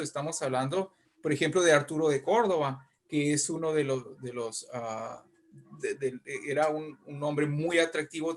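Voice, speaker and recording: A middle-aged man with a northern Mexican Spanish accent, talking conversationally as an interview guest, in an animated, discursive way with frequent check-questions. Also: fairly high pitch. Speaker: guest writer and poet.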